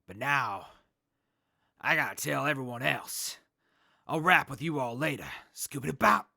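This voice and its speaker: A man acting an upbeat wasteland survivor leader in an audio drama. His slangy, reassuring delivery swings widely in pitch over a creaky voice, recorded close and dry. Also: expressive, a little slow, pushed and bright, no room sound, exaggerated voice. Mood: resolute, hopeful. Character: Badger Boy, upbeat hopeful male wasteland survivor leader.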